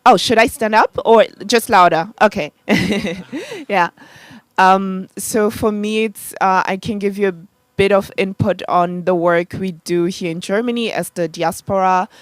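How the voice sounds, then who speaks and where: A woman's voice: medium pitch, steady tone, measured pace, no room sound. A Congolese-born woman in her thirties speaking on a panel, hesitant and heartfelt, with many fillers. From Democratic Republic of the Congo. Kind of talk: live panel talk, casual.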